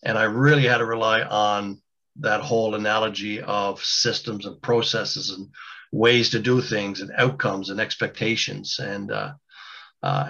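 Man, fifties, bright forceful tone, rambling with lots of restarts and fillers, on dull lo-fi audio. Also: fairly low pitch. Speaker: business owner guest. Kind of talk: casual interview storytelling.

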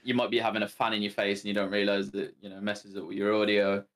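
Hesitant low-voiced man giving tips. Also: monotone, fast, a bit bright. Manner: hesitant, many "um"s. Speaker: guest streamer. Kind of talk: casual advice to new streamers.

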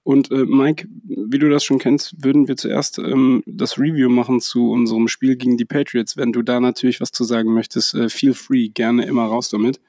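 A man's voice: mid-range voice, level tone, moderate pace, hardly any pauses, warm tone, no room sound, studio quality. A man with a cold hosting a casual podcast chat, hesitant and full of fillers. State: has a cold. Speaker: podcast host. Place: holiday house.

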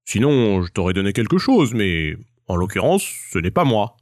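A man's voice: lowish voice, very animated, moderate pace, darkish tone, no echo, clean studio sound. A man voicing a kindly, apologetic village priest in a children's tale. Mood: regretful. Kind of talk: children's storybook dialogue.

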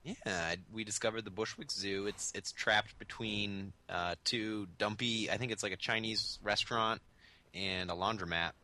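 Brief: young man, hoarse with a cold, low and bright-toned, rambling podcast co-host over a call. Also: fairly even intonation, normal speed. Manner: rambling, laid-back. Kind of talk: casual chat. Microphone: remote call over the internet.

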